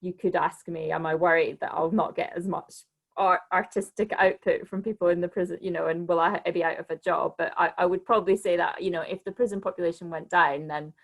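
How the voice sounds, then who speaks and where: Creaky, low-pitched woman on a panel. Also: steady tone. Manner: halting, restarts sentences often. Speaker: arts organisation director on a panel. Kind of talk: informal panel discussion answer.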